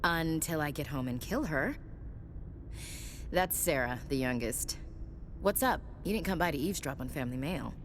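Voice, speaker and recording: A woman's voice: sits low, animated, moderate pace, long pauses, a little hiss or hum. A woman playing a tough, candid soldier and protective big sister in a video game, frank and conversational. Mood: embarrassed, wry. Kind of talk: naturalistic video game dialogue acting.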